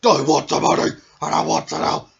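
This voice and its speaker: A woman's voice: deep voice, little pitch movement, fairly quick, vocal fry, lo-fi sound. A woman voicing a cheerful, childlike storybook bear who yearns for honey and pretends to be a bee. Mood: greedy, excited.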